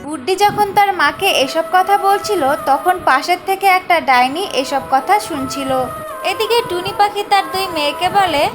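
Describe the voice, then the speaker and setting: A woman's voice: extremely high pitch, normal speed, music in the background. A woman narrating a scripted children's cartoon story.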